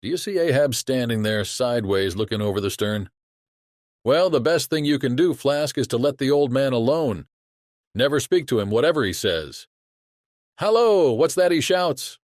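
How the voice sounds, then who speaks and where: A man's voice: medium pitch, animated, moderate pace, a little mellow, no room sound, clean studio sound, close to an everyday voice. A man playing a chatty sailor who tells a yarn to his shipmate in colloquial, exclamatory audiobook acting. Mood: confiding wonder.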